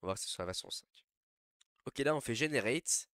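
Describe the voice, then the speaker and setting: A man's voice: mid-range voice, brisk, dry recording, studio quality. A young man with a cold talking through a casual, rambling screen tutorial, full of fillers. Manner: relaxed, rambling with many fillers. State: stuffy from a cold. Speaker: tutorial host.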